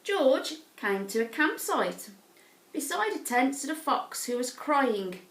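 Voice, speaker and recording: Unhurried woman reading a storybook, roomy sound. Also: high-pitched, slight background noise. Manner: deliberate, expressive read-aloud. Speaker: teacher reading a picture book. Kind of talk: storybook narration for young children.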